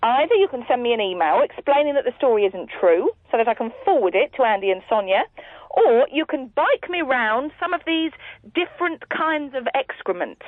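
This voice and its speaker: An actress playing a brisk, blunt and bossy estate agent. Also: female voice, sits high, big pitch swings, no room sound. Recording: tinny dry phone-line sound.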